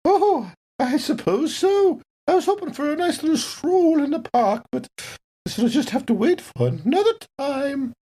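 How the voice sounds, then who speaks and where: A woman's voice: high-pitched, animated, moderate pace, dark tone, voice pushed up. A woman voicing a genteel, polite older kitchen worker in a tabletop game, with a prim, airy manner. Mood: wistful, polite.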